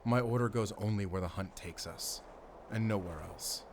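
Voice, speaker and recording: An actor playing a stern, secretive werewolf hunter with a German accent, giving terse, grave orders in a cinematic audio drama. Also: male voice, fairly low pitch, moderate pace, background noise, lightly acted. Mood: grave, hushed, wary.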